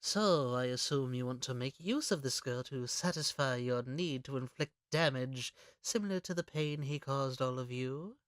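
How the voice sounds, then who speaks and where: A man voicing a sly, courteous, menacing gentleman hunter in a comic dub, speaking in a theatrical, genteel way. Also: mid-range voice, moderate pace, hardly any pauses, no echo, studio quality, close to an everyday voice. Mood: smoothly menacing. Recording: background music under voice.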